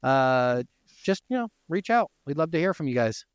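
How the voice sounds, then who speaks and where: A man's voice: on the high side, big pitch swings, moderate pace, clean tone, dry recording, clean studio sound. An American man co-hosting a podcast, talking casually and bluntly about video games. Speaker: podcast co-host. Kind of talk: casual gaming chat.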